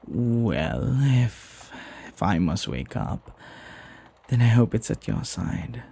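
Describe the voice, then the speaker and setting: A man playing a devoted, flirty butler in an intimate ASMR roleplay, tender and hesitant, often sinking into a breathy whisper. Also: lowish voice, big pitch swings, slow, mellow, exaggerated voice. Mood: dazed, playful. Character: flirty lovestruck male butler, devoted and deferential.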